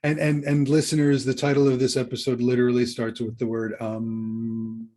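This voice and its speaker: Guy podcast host, medium pitch, chatty, kinda halting, says phrases again for emphasis. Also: little pitch movement, background noise. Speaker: podcast co-host and book coach. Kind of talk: conversational podcast hosting.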